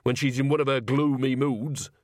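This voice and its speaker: A man voicing a folksy old country witch in a storybook, chatty, blunt and emphatic, pitched a little up. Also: level tone, moderate pace, a little mellow, no echo, clean studio sound, natural voice. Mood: gossipy, frank.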